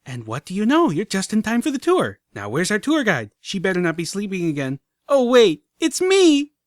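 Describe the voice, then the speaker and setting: A man voicing an excitable young pink filly who squeals, giggles and bounces as she talks. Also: high voice, moderate pace, dry recording, studio quality, pitched above the performer's own voice. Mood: excited. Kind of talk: audiobook character reading.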